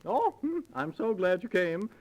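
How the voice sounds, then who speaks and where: A man voicing a fluttery, gushing housewife in falsetto for a 1930s radio comedy sketch. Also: big pitch swings, unhurried, creaky, heavy background noise, full character voice. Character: fluttery housewife expecting the milkman, comic drag.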